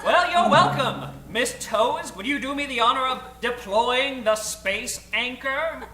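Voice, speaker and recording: An actor playing a mild, pedantic and polite professor in an old-time radio space serial. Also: male voice, extremely high pitch, measured pace, pushed and bright, echoey room, soft background music, exaggerated voice. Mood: fussy, polite.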